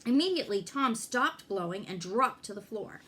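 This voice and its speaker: A woman reading a children's story aloud in an expressive way, pausing to check in with young listeners. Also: medium pitch, slight background noise. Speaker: fire inspector reading a children's book aloud.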